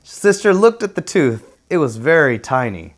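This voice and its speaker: A man's voice: fairly high pitch, sing-song, moderate pace. Man reading a children's storybook aloud. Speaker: guest reader.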